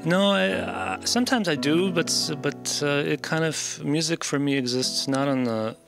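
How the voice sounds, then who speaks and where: Gravelly-voiced guitarist from Poland. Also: male voice, on the high side, normal speed, over music. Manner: hesitant, restarts phrases, soft-spoken. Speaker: guest classical guitarist. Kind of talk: casual interview.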